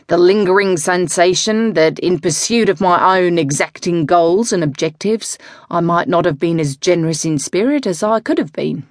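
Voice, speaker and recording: Brief: sardonic middle-aged coach, a man as voiced by a woman, drawling and needling, low-set voice, dry close sound. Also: no echo, close to an everyday voice.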